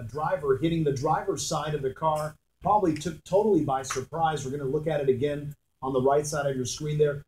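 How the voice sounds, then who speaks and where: A man's voice: on the high side, darkish tone, reverberant, far from the mic, low-quality recording. A man anchoring live breaking news on television and putting questions to a field reporter.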